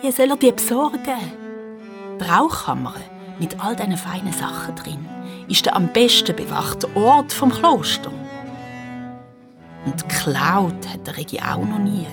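A woman with a Swiss accent narrating a children's story in a playful, dramatic way. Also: mid-range voice.

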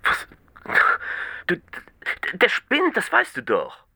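An actor playing an evasive, flustered detective on a phone call in a radio crime drama, halting as he brushes someone off. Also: high-pitched, wildly swooping pitch, sharp tone, breathy edge, heavily acted. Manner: halting, stammering brush-offs. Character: evasive, flustered male dog owner and detective.

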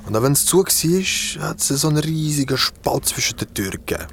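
An actor playing a guilt-ridden young man recounting a horror story in a radio play, with a Swiss German accent and halting delivery. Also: a little high, big pitch swings, slight background noise. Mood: haunted narrating.

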